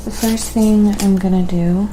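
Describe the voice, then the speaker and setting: A woman hosting a casual ASMR painting livestream, chatting in an unhurried way and thinking aloud to her viewers. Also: medium pitch, fairly even intonation, noisy background. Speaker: live stream host and painter.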